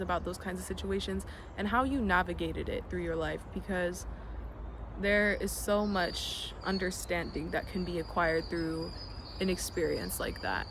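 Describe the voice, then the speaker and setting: A young adult vlogger from the United States, speaking in an earnest, reflective way with frequent ums and some casual swearing. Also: mid-range voice. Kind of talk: reflective personal vlog. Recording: noisy background with birds and passing vehicles.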